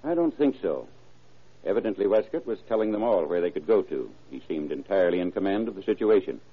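An actor playing a methodical, take-charge police boss in an old-time radio drama, businesslike, emphatic and authoritative. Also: male voice, sits low, big pitch swings, fast talker, breathy edge, full character voice. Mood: firm, reasoning. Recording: muffled, narrow-band vintage radio recording.

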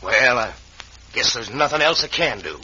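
An actor playing a big, gray-haired, hard-luck miner, folksy and indignant, in an old radio western. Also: male voice, mid-range voice, expressive, normal speed, a crowd in the background, close to an everyday voice. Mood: protesting. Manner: folksy, ungrammatical frontier talk. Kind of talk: 1940s-50s radio western acting. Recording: muffled, noisy vintage radio recording.

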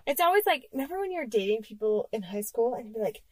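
Thirties female co-host, cute bubbly voice with a swooping, lively pitch, plays out little scenes as she talks. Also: fast, dry recording. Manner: animated, acts out quoted voices. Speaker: podcast co-host. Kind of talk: casual chat. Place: parked minivan.

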